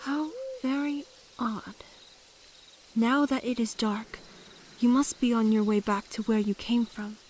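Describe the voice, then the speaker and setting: A woman playing a mysterious forest woman in an audio drama, speaking in a formal, measured, old-fashioned way and calmly giving instruction. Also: on the high side, slight background noise, natural voice. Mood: questioning, curious.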